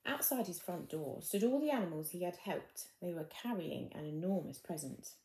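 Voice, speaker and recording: A woman's voice: lowish voice, expressive, moderate pace, dark tone, roomy sound, a little hiss or hum, rough recording. A woman reading a children's storybook expressively, addressing young listeners like a teacher.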